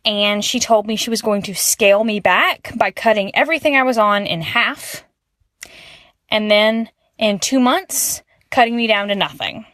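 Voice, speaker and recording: A woman's voice: medium pitch, measured pace, a bit bright, no echo, clean studio sound. A young woman from Alabama leaving a conversational listener voicemail, explaining her personal experience candidly. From Alabama, United States. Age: young adult. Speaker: listener calling in. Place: voicemail message recorded for a podcast.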